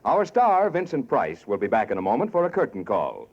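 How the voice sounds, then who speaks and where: A man's voice: medium pitch, huge pitch swings, fairly quick, a little mellow. An American man making formal, scripted announcements in the style of 1940s network radio. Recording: dull 1940s radio recording.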